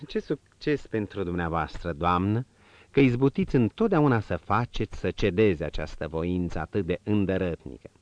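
Man voicing a calm, composed prince. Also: sits low, dry recording, lo-fi sound. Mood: courtly. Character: male prince, calm, gently commanding.

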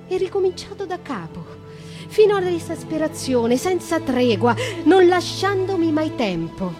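Anguished condemned young noblewoman over music. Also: on the high side. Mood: anguished, accusing. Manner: theatrical, with stressed phrases and pauses. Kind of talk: dramatic theatre monologue.

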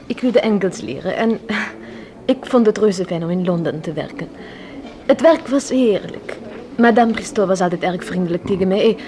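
A woman's voice: medium pitch, moderate pace, mellow, slight background noise, close to an everyday voice. An actress playing a polite young Belgian woman with a French accent in a radio drama, speaking courteously and a little hesitantly. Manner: hesitant, courteous, peppers speech with French words. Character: polite young Belgian shop assistant turned stewardess.

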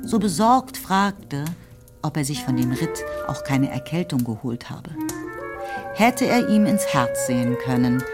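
A woman with standard German diction narrating a literary radio play in a measured, articulate storytelling manner. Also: deep voice. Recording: music behind the voice. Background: music.

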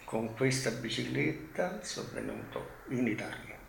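Slow, creaky older man. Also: mid-range voice, expressive, a little hiss or hum. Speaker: war survivor. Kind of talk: slow personal reminiscence. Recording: echoing room.

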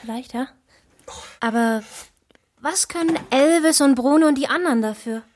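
A female actor plays a stubborn, naive young postwoman in a radio drama. Her voice is high and she speaks plainly, with earnest insistence. Faint noise sits behind her. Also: moderate pace, a little mellow, lightly acted.

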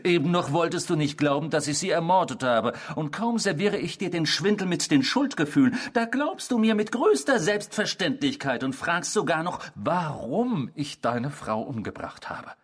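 An actor in a radio drama playing a sardonic, tormenting inner voice, with a husky, mocking and theatrical delivery. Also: male voice, a little high, expressive, moderate pace, few pauses. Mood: scornful, amused. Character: sardonic tormenting inner voice claiming to be a man's destructive self.

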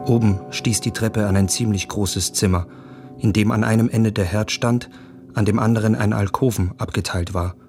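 A man's voice: on the low side, steady tone, normal speed, a little mellow, faint music behind, lightly acted. An actor playing an observant, uneasy young traveller of minor nobility, speaking his inner thoughts in a radio drama. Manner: reflective, terse observations. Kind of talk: interior monologue in literary radio drama.

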